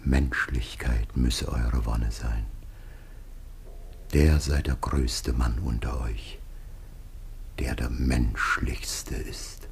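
An actor playing a dying aged patriarch in a classical radio play, solemn and tender, declaiming slowly with breathy, whispered passages. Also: male voice, a bass voice, steady tone, a little hiss or hum, exaggerated voice. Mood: solemn blessing. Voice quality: gravelly, husky, breathy.

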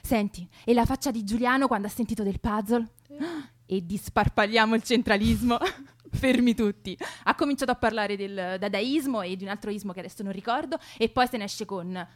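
A chatty young woman student, voiced by an actress in a radio drama. Her voice sits a little high. She is breezy, flippant and gossipy, teasing like an old friend in colloquial, natural speech. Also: brisk, lightly acted. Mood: amused, gossipy.

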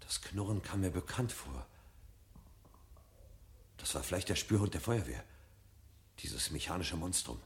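An actor playing a brooding, restless, insistent husband who secretly devours forbidden books, in a 1970 German radio drama. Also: male voice, low voice, expressive, unhurried, long pauses. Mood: uneasy, hushed. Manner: insistent, shifting from musing to exasperated.

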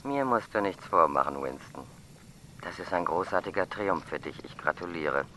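An actor playing an assured colleague in a German radio drama, speaking in a familiar, approving manner. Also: male voice, low-pitched, animated, normal speed, bright, forceful tone, slight background noise. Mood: congratulatory.

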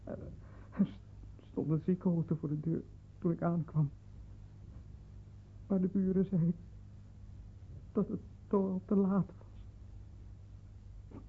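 A narrow, phone-like old radio recording. An earnest, headstrong young son speaks with a slightly rough voice in a family radio drama. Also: male voice, high voice, fairly even intonation, slow, pauses often, exaggerated voice. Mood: shaken.

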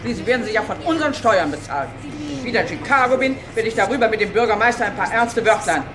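Shrill-high bossy society lady played by a woman. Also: animated, fast talker, lightly acted. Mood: indignant. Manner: commanding, fussy, declamatory. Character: bossy, indignant society lady leading women's club. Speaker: actor in a radio crime satire.

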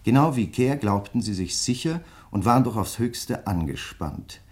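An actor as a courteous crime writer in a radio play. His voice sits on the low side, and he speaks with measured, deliberate politeness, quietly pressing his point as he probes a fellow writer. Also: expressive, natural voice. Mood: measured, reading aloud. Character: courteous, insistent male crime novelist probing a fellow writer. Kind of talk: German radio play acting.

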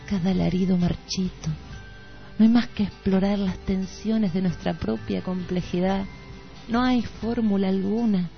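Low-pitched Argentine woman reciting poetry. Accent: Argentine Spanish. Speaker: radio host. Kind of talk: reading a poetic literary text aloud on radio. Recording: dull lo-fi radio audio. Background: music playing under the voice.

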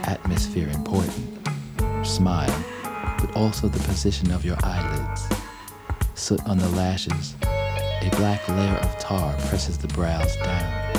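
A low-voiced, gravelly narrator reciting literary prose in an art radio piece, with music behind. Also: slow pace. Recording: plucked guitar music behind the voice. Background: plucked string instrument, guitar.

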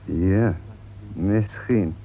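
Low, probing police inspector, tinny sound. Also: male voice, full character voice. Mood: pensive, sceptical. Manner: measured, insistent, tags questions with "hè". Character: composed, probing, dryly polite police inspector. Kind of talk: radio play acting. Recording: tinny, noisy old radio play recording.

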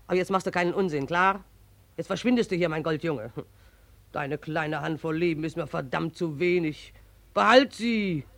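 Creaky, scolding old river spirit. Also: female voice, low voice, normal speed, no echo. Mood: scornful, commanding. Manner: coarse, commanding, scolding. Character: gruff, bossy old river spirit, motherly but scornful. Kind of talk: 1940s radio drama acting.

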